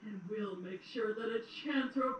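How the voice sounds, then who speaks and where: A woman's voice: mid-range voice, lo-fi sound, lightly acted. Roomy distant sound, actress as a tyrant queen, theatrical, commanding, scheming, audio drama. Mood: sinister scheming. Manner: theatrical, commanding, drawn-out menace. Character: cruel scheming tyrant queen, menacing and imperious. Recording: roomy, distant playback with some noise.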